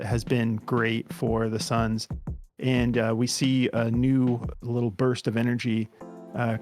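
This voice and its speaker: A man casually talking through his sports betting picks as a podcast host, relaxed and full of fillers. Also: medium pitch. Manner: relaxed, lots of fillers and restarts.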